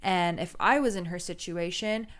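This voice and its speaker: Loud-voiced young woman giving marriage advice. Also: moderate pace. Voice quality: low, loud voice. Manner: animated storytelling, acts out voices and quotes with laughs. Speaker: co-host of a marriage advice channel. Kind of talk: casual advice chat.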